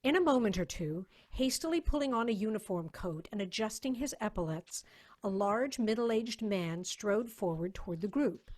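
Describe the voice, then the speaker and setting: A woman's voice: medium pitch, animated, normal speed, a little mellow. A woman reading scripted story narration in a dramatic style, as the narrator.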